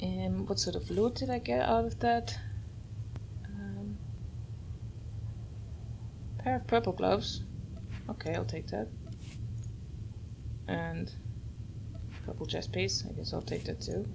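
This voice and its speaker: A woman's voice: medium pitch, takes it slowly, creaky, long pauses, background noise. A woman hosting a gaming video, giving casual, musing commentary as she plays. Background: game music.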